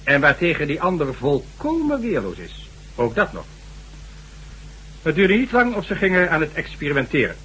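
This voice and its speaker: A man's voice: on the high side, wildly swooping pitch, normal speed, fairly bright tone, poor audio. An actor playing a stern, sardonic government official in a radio drama, formal and condescending as he lectures. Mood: grave, recounting. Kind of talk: Dutch radio drama acting. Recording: faint hum and noise, old radio recording.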